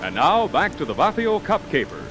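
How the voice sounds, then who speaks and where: High-pitched American radio announcer man. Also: huge pitch swings, fairly quick, low-quality recording. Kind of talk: 1940s radio sponsor announcement. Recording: vintage radio broadcast, music and rain.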